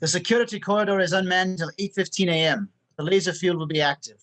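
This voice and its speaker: A man's voice: sits high, fast, a bit bright. A man reading a businesslike spy handler. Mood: briefing. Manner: briefing, businesslike. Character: precise male tech handler giving a briefing. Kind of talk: table read.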